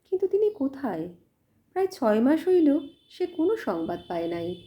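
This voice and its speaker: A woman narrating a literary story in an expressive, measured storytelling manner. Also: sits high, muffled recording. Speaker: audiobook narrator.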